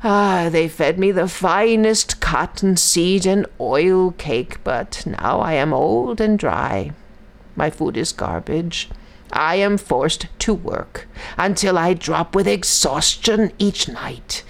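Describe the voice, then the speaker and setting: A woman's voice: fairly low pitch, lively intonation, takes it slowly, creaky, full character voice. A woman voicing an old, worn-out female buffalo in a folktale, bitter, sighing and weary.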